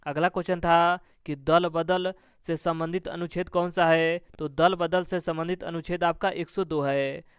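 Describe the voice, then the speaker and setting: Male coaching instructor, very clean smooth voice, close dry sound, formulaic question-then-answer delivery. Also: on the high side, little pitch movement, normal speed, hardly any pauses, dry recording. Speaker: exam coaching teacher.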